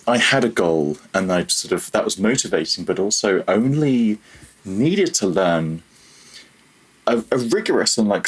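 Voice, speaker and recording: Deep, husky man in conversation. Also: low-quality recording. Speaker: podcast guest, audio developer. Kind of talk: thoughtful conversational interview.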